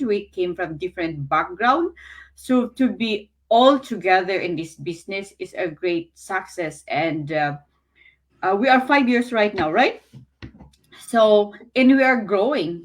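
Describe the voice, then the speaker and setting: A woman from the Philippines, speaking English as a later language, sharing her business experience in a casual, earnest way with many fillers and pauses. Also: medium pitch. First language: Filipino language. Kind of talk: casual testimonial talk. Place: Canada.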